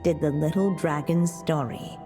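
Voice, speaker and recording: Slow, reverberant storybook witch mother. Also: low voice, over music. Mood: solemn. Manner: measured, gentle, solemn. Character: solemn, tender mother witch telling a storybook tale. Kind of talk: scripted storybook narration in a video game.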